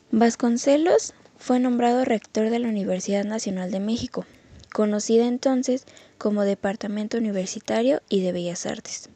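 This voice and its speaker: A woman's voice: a little high, warm tone, no room sound. A woman reading scripted educational narration from a text, as a student presenter.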